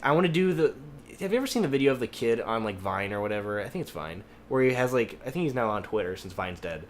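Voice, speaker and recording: A man's voice: mid-range voice, animated, quick pace. Rambling man in a noisy casual chat. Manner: rambling, swears freely. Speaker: podcast host. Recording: noticeable background noise.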